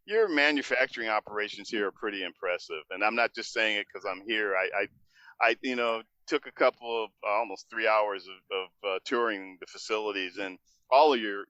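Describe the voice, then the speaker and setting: Male interview host, chatty, laughs a lot, forceful bright tone, dry dull sound. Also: fairly low pitch, normal speed, dry recording. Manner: chatty, laughs often, restarts questions. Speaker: podcast host. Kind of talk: on-location interview. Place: aircraft manufacturing facility.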